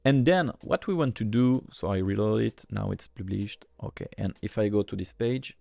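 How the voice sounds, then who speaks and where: Low gravelly man. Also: normal speed, darkish tone, dry recording. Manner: hesitant, many fillers and restarts. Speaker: software demo presenter. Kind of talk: screen-recorded software tutorial.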